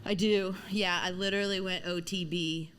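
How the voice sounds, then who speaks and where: A woman's voice: lowish voice, fairly even intonation, moderate pace, a bit bright, smooth. A woman from Louisiana with a mild Southern accent, chatting casually as a podcast guest and laughing often. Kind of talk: casual chat.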